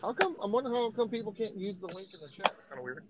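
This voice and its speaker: A man's voice: high-pitched, big pitch swings, rapid-fire, dull audio. A man chatting casually on a live stream, explaining things patiently step by step. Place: Texas.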